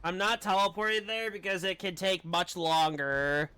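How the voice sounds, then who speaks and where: A man's voice: sits high, steady tone, normal speed, sharp tone, clean tone, no echo. A man giving casual, offhand live commentary as he streams a game.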